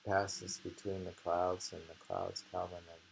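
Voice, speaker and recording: Deep, gravelly man musing over poetry. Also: completely flat delivery, unhurried, a little hiss or hum, rough recording. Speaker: poetry reader and discusser. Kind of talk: casual chat with slow poem reading.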